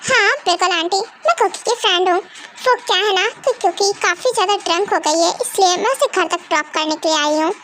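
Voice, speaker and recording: Brief: a woman doing a courteous young girlfriend, very high voice, faint hiss. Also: fairly quick, a bit bright. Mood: polite. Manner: polite, explaining. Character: polite young female friend.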